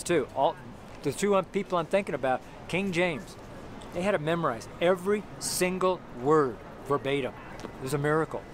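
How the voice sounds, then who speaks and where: A middle-aged man preaching an informal sermon to the camera on a city sidewalk, rambling and repeating himself with many fillers and restarts. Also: fairly high pitch. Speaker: street preacher. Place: outdoors on a city sidewalk by a brick wall. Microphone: camera close up, handheld. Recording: noisy outdoor street recording. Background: street traffic noise.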